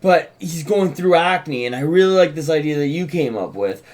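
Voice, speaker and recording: A man's voice: on the high side, lively intonation, moderate pace, darkish tone. A man co-hosting an unscripted writers' chat, interjecting and restarting his sentences as he insists on his points. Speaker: co-host and writer introducing a script read. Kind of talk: casual unscripted discussion.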